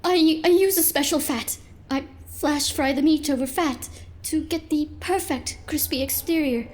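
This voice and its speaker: A woman's voice: high-pitched, a little slow, darkish tone. A woman playing a flustered, obsessive diner cook in an audio drama, stammering and frantic. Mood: flustered, confessing. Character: jittery, obsessive diner cook hiding a grisly secret.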